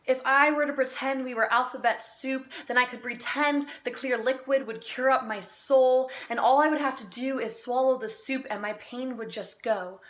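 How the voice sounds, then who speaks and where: A woman amateur poet recites spoken-word slam poetry in an earnest, deliberate manner with dramatic pauses. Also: sits high, fairly even intonation, a bit bright.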